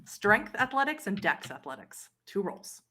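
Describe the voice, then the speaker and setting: A woman working as game master, narrating a tabletop horror roleplay game in a measured way and directing the players. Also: medium pitch, very animated, pushed and bright. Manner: measured, directing players through scenes and rolls.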